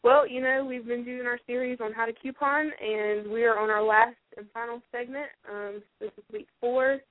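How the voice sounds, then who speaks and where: A woman's voice: on the high side, steady tone, normal speed, no echo. A woman who is a guest on a radio show, explaining her topic in a friendly way with frequent "um"s. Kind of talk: explanatory talk segment. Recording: dry, tinny phone line.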